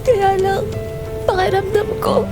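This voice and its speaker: A woman's voice: very high voice, level tone, slow pace, over music. A woman acting in an audio drama as a fearful, guilt-ridden young daughter, anxious and plaintive. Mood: distressed, guilty.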